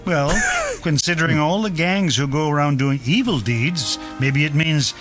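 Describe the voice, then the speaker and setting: A man's voice: a little high, moderate pace, lightly acted. An actor playing a male character in a family radio drama, with music behind the voice. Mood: reflective. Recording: played clip with music underneath.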